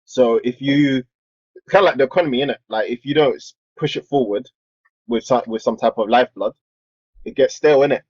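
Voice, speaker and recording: A man's voice: on the low side, darkish tone, husky, dry recording. A young man who explains his ideas at length in casual podcast chat, stammering as he starts. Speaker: podcast co-host. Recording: dull lo-fi dry sound.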